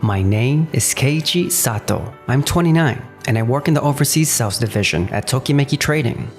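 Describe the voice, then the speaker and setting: A man's voice: mid-range voice, animated, brisk, faint music behind, lightly acted. A man playing an earnest, responsible young businessman in a manga dub, narrating and speaking in character. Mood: measured narration. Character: earnest, responsible 29-year-old businessman narrating his betrayal.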